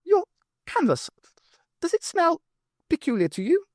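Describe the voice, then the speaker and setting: A narrator voicing an excitable, timid furry alien professor with an eager, chittering manner in an audiobook. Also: very high-pitched, a little slow, dry recording, studio quality, pitched above the performer's own voice, exaggerated voice. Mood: anxious, puzzled.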